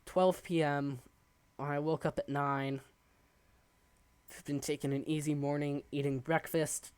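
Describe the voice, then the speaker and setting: A young man streaming a building game from his desk at home, chatting in a rambling, halting way, his voice raspy and hoarse from coughing. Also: mid-range voice, dry recording. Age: young adult. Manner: rambling, halting, many ums. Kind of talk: casual live-stream chat while building.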